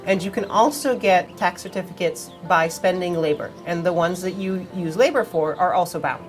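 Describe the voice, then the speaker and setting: A woman's voice: lowish voice, moderate pace, faint music behind. A woman with a cold, explaining game features casually on a livestream with many fillers. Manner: plain, informative, with fillers. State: has a cold. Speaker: community manager on a game stream.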